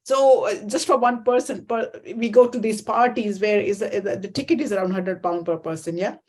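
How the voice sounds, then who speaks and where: A woman hosting an interview, asking questions in a halting way with repeated words. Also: mid-range voice, darkish tone. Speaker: talk show host. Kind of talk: conversational interview.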